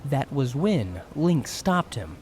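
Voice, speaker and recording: A man narrates a fantasy story from a script in a dramatic style. His medium-pitched voice rises and falls a lot, with faint noise behind it. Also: very animated, slow, a little mellow.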